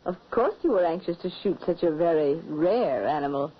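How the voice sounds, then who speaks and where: A woman's voice: lowish voice, animated, normal speed. An actress playing a mysterious lady guest in a 1940s radio drama, speaking in a silky, coaxing way. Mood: composed. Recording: muffled husky-sounding old radio recording.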